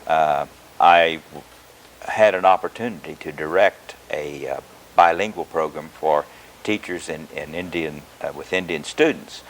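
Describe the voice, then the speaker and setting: Older Oklahoma man, very low voice, unhurried guest answers with frequent pauses, faint tape hiss. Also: rough recording. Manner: measured, hesitant with many filler pauses. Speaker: guest, retired education professor. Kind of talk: interview answers.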